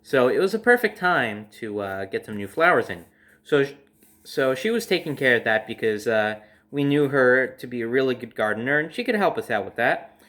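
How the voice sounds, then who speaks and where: A young adult man telling a casual personal story as host, in a dramatic, emphatic manner with drawn-out phrases. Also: medium pitch, very animated, a bit bright.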